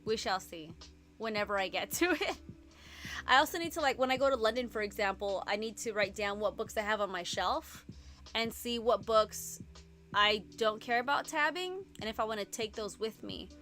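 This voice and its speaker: Chatty bright-voiced Puerto Rican woman. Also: a little high, animated, soft background music. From Puerto Rico. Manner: chatty and rambling, fast-talking with frequent "like". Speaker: booktube streamer hosting reading sprints. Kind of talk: casual live-stream chat. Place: home room at a computer.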